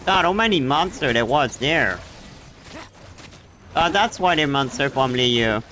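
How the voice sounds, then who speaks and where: A man's voice: medium pitch, animated, unhurried, pushed and bright, slight background noise. A man livestreaming a video game with casual, sweary commentary. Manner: casual swearing, muttering exasperation.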